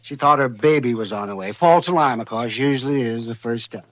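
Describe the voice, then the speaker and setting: A man's voice: mid-range voice, brisk, a bit bright, no echo, close to an everyday voice. An American man in a vintage radio western, playing a crusty, weary old town doctor who talks in a folksy, querulous mutter. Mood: grumbling. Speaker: radio actor.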